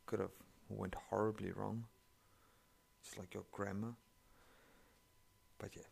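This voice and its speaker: A man's voice: lowish voice, flat delivery, slow, gravelly, darkish tone, husky. A man, not an English speaker, reading online stories aloud and breaking in with sarcastic, profane commentary. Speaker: story reader.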